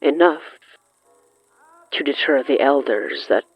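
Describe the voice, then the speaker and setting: A dull, lo-fi recording with faint music underneath carries a narrator of horror fiction. The reading is very slow and brooding, dramatic in its delivery, with long pauses left between phrases. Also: medium pitch, dry recording. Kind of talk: scripted horror fiction narration, third person. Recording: muffled lo-fi sound with music underneath.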